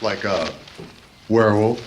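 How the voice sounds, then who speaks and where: A man acting in a live radio play as a calm, polite, authoritative adventure hero. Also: fairly low pitch, unhurried, heavy background noise, natural voice. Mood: probing.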